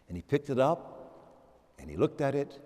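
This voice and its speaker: A man's voice: mid-range voice, sing-song, unhurried, dark tone. An older American man, a priest, delivering a homily with storytelling, emphatic repetition and rhetorical questions in a church. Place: church, preaching to a congregation. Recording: reverberant church hall.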